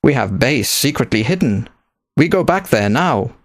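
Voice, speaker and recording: A man voicing a bold, fervent woman pirate captain with a thick foreign accent and proud, halting speech. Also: mid-range voice, expressive, dry recording, clean studio sound. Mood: frank, confiding. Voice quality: rich, strong. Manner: proud, halting broken grammar.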